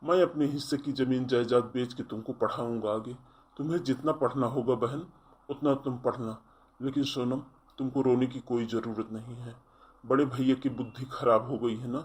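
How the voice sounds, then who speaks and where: A man's voice: mid-range voice, steady tone, moderate pace, a little mellow, husky, close to an everyday voice. A man voicing a protective, defiant young brother, earnest and firm, in a melodramatic cartoon story. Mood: concerned, resolute.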